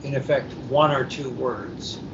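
A dull, noisy live recording with a low rumble carries an older man's medium-pitched voice. He is a guest editor in a panel discussion, speaking emphatically and deliberately, with pauses in mid-sentence. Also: fairly even intonation, gravelly. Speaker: book editor, podcast guest. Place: hotel at a convention.

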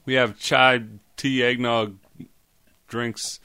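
A man's voice: mid-range voice, level tone, slow pace, bright, forceful tone, clean tone. A man co-hosting a casual podcast, laid-back and mostly answering in short, agreeing replies. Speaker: podcast co-host.

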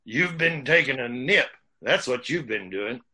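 A man playing a gruff, scolding local in an old-time radio drama, with a husky voice. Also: medium pitch, animated, unhurried, bright, forceful tone, heavily acted.

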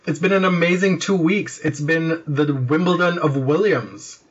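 A man's voice: a little high, moderate pace, heavy background noise. A man hosting a podcast in a casual, conversational style, recorded with slightly dull audio. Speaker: podcast host. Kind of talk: casual chat.

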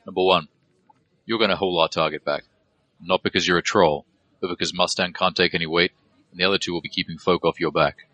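A man's voice: deep voice, fairly even intonation, a little fast, pushed and bright. A man playing a businesslike, wary dwarf mercenary leader who gives terse, matter-of-fact orders in an audio drama. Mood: serious, confiding.

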